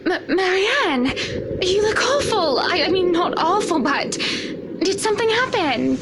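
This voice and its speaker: A woman playing a kindly, solicitous married lady in a visual novel, gentle, polite and fussing. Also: extremely high pitch, very animated, unhurried, noisy background, exaggerated voice. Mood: concerned, fussing. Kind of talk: dramatic visual novel acting. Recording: music under the voice.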